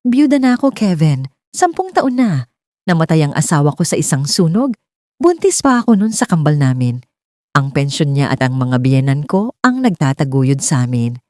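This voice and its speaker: A woman's voice: deep voice, sing-song, normal speed, a little mellow, dry recording, clean studio sound. Woman playing a guarded, pleading widowed mother. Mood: heavy, pleading. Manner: hesitant, pleading, polite.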